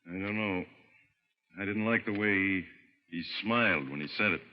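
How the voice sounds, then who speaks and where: A man's voice: deep voice, moderate pace, lightly acted. A man playing a wisecracking, skeptical police inspector in a vintage radio drama, slangy and world-weary. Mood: uneasy, concerned. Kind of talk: mid-century American radio crime acting. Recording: vintage radio, muffled, long reverb.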